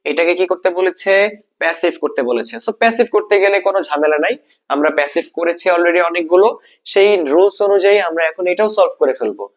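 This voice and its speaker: A man's voice: sits high, fast talker. A man with a Bangladeshi accent teaching an exam-prep lesson, explaining step by step, repeating points and asking check questions. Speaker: English grammar teacher.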